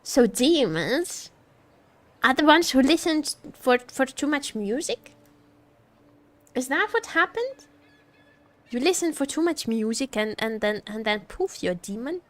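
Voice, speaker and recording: Female streamer, high and very expressive, leisurely delivery, joking casual chat while reacting to a game. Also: measured pace, pauses often. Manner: teasing, joking, giggly. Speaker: VTuber streamer.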